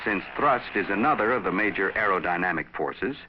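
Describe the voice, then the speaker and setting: A man reading scripted instructional narration for a training film in a measured, explanatory, didactic manner. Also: sits low, lively intonation, noisy background. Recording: dull vintage training film soundtrack.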